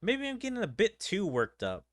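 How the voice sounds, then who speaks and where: A man's voice: sits high, wildly swooping pitch, normal speed, voice pushed up. A man voicing a kindly, reflective young leader and teacher from an anime game, reading the lines aloud. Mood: pensive. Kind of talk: amateur read-aloud of anime game dialogue.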